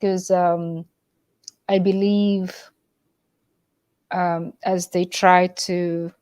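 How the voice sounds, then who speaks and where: A woman's voice: fairly low pitch, little pitch movement, drawn-out words, a little mellow, clean tone, no echo. A woman from Uganda hosting a casual livestream, speaking hesitantly with many fillers and restarts. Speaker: livestream host.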